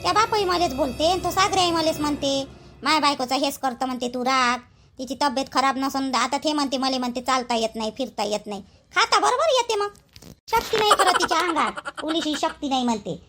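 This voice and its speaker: A woman's voice: high-pitched, animated, fairly quick, music in the background. A woman voicing a suspicious, gossipy village mother in a cartoon, complaining in a Vidarbha Marathi accent. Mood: complaining, doubtful. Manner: gossipy, grumbling, repetitive.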